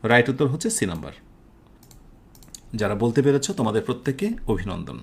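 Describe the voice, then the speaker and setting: Animated Bangladeshi man teaching a class. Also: medium pitch, creaky, slightly rough. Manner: instructive, checks understanding often, slows down for English examples. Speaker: English teacher for university admission students. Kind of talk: live class lecture mixing Bengali and English.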